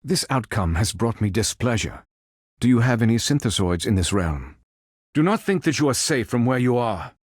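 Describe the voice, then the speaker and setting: A man playing a calm, noble android superhero in a video game, speaking in formal, precise, stilted robotic phrases. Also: mid-range voice, normal speed, husky, no room sound, studio quality. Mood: measured, analytical. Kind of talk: video game character voice lines.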